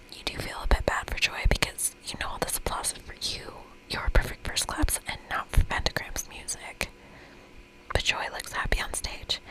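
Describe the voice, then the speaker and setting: A woman whispering in a breathy, gentle, reassuring and chatty way as she hosts an ASMR game playthrough. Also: rough recording. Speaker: host of an ASMR gaming channel.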